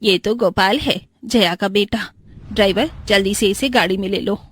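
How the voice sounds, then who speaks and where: A woman's voice: medium pitch, moderate pace, slight background noise, close to an everyday voice. A woman voicing a wealthy, kind-hearted older mother-in-law who speaks with composed authority. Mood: concerned, kind.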